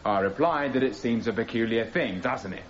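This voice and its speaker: An actor playing a young radical defendant who questions coolly and insistently in a radio courtroom drama. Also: male voice, medium pitch, close to an everyday voice. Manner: deliberate, insistent, probing. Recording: dull radio recording with clear noise.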